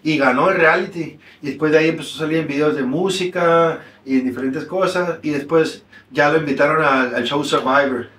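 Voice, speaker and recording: A man in his thirties from Mexico with a Mexican accent, a guest telling stories in a relaxed, slangy way in a small room. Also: fairly high pitch, a little fast, hardly any pauses, far from the mic. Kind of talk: casual storytelling interview. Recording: echoey room.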